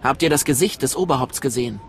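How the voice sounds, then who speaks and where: An actor plays a scheming male dark agent in a video game. His mid-range voice is clipped and sardonic, used to giving orders. A faint ambient noise lies behind it. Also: mid-range voice, fast, natural voice. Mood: sardonic, probing. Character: shrewd, scheming adult male Sith agent. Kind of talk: dramatic game voice acting.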